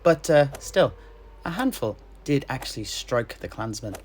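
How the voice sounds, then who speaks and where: A man narrating a fantasy battle tale in character, theatrically and with deliberate, dramatic pauses. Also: mid-range voice, very animated, slight background noise. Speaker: storyteller guest in an in-character fantasy chat.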